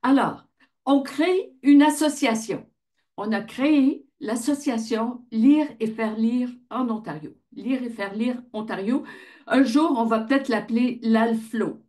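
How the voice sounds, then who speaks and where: Mellow woman presenting. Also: fairly high pitch, measured pace. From Ottawa region, Canada. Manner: conversational, asks and answers own questions. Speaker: founder of a volunteer reading association, presenter. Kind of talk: informal explanatory presentation.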